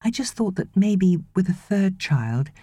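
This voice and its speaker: A woman voicing a gentle, wistful young wife and mother in an audiobook, softly and hesitantly pleading. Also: mid-range voice, very animated, a little slow, husky, no room sound, studio quality, pitched above the performer's own voice. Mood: quietly pleading.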